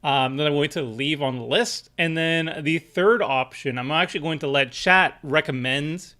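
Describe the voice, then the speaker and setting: A man's voice: on the high side, normal speed, bright, forceful tone. A young man casually chatting on a live stream, rambling with lots of fillers and restarts, sounding a little stuffy. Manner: rambling, many fillers and restarts, reads chat aloud. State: stuffy from allergies. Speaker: streamer building a deck with chat.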